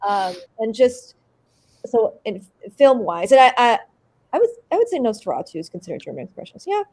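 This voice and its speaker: A woman actor chatting casually as an interview guest, animated and very informal, often saying like. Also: fairly high pitch, fairly quick, low-quality recording. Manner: animated, informal, peppered with 'like'. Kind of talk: casual chat.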